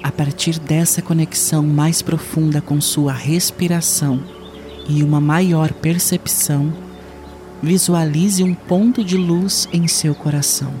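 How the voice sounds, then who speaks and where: A man leading a guided meditation in a soothing, unhurried way with long pauses. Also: fairly high pitch, steady tone, music in the background.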